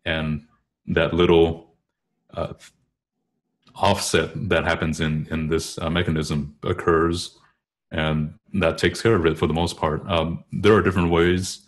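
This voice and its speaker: Low-voiced man explaining vocal technique. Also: little pitch movement, unhurried. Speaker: guest singer and choral bass. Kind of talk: informal technical explanation in an interview.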